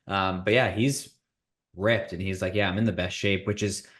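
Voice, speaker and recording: A man's voice: low-pitched, fairly even intonation, normal speed, fairly bright tone. A man in his thirties hosting a business podcast, chatting casually with candid, self-deprecating humor. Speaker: podcast host.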